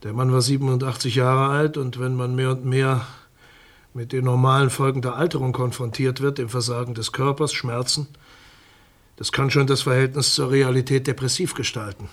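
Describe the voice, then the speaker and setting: An actor playing a state prosecutor in a radio crime drama, speaking in a measured, bureaucratic and somewhat condescending way. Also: male voice, mid-range voice, level tone, lightly acted. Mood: measured, matter-of-fact. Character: dismissive, formal male state prosecutor defending his findings.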